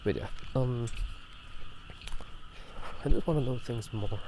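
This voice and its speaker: A man doing casual ASMR game talk in a soft, breathy half-whisper, musing in a halting, rambling way. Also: medium pitch. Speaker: ASMR video host. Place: outdoors at night. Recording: noisy outdoor ambience. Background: drainage pipe water, passing cars.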